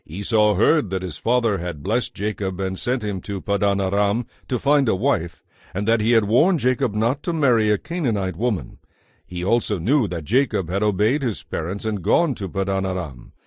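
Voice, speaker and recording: Lowish-voiced man narrating scripture. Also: expressive, moderate pace, a little mellow, no echo, clean studio sound.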